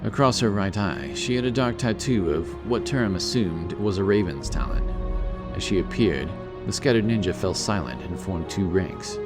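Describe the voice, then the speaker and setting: A man's voice: deep voice, expressive, normal speed, loud music behind the voice. A man giving scripted narration for a fantasy audiobook, in the role of storyteller.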